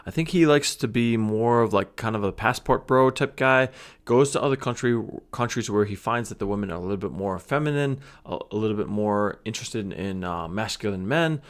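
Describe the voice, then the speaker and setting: A man hosting a reaction video, giving casual, hesitant commentary with frequent pauses and restarts. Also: lowish voice. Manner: hesitant, many fillers and restarts.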